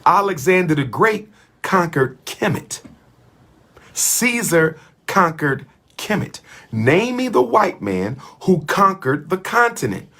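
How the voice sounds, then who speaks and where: A man's voice: high voice, big pitch swings, takes it slowly, pushed and bright. A man giving an impassioned speech to an audience, hammering points home in repeated, chant-like phrases. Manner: emphatic, repetitive chant-like cadences. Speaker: speaker addressing an audience. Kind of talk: impassioned oratory.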